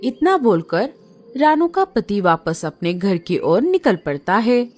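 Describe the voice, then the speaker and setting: Woman reading a kids cartoon story, slow and sing-songy, music underneath. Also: medium pitch, big pitch swings, darkish tone. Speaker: narrator. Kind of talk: storybook narration.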